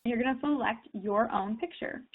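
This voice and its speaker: A woman hosting a casual software tutorial, chatting straight to her viewers with lots of fillers while fighting a cold. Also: on the high side, normal speed, breathy edge. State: has a cold, sniffling. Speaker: tutorial host. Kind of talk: casual screen-share tutorial. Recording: tinny phone-like line.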